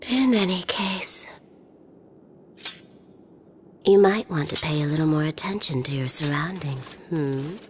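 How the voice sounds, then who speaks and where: An actress playing an aloof, menacing sorceress in a video game, with a languid, condescending murmur. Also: female voice, low voice, moderate pace, a little hiss or hum, close to an everyday voice. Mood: aloof, listless. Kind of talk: video game cutscene acting.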